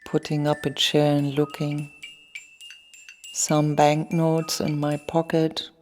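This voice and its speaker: Pensive lady played by a woman, poetic radio drama, very even delivery, chiming mallet music behind. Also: sits low, slow pace. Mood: pensive, detached. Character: pensive sitting lady recalling her invented gesture. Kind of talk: poetic radio play monologue. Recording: long reverberant hall, mallet music behind.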